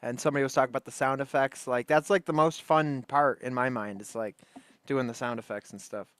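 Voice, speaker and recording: A man's voice: mid-range voice, fairly even intonation, brisk, a bit bright, smooth, no echo. A man hosting a livestream, chatting casually and enthusiastically in loose, slangy speech. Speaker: livestream host. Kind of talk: casual chat, reacting to old radio shows.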